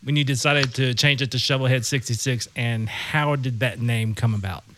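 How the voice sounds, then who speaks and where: A man's voice: medium pitch, moderate pace, background noise. A man hosting an interview, asking band members easygoing questions. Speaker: podcast host.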